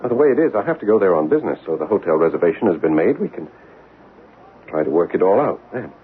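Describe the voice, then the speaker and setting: An actor playing a shy, earnest young American soldier in an old-time radio drama, hesitant and stammering, with an American accent. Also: male voice, low-pitched, sing-song, fast talker. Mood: guilty. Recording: tinny, hissy old radio broadcast.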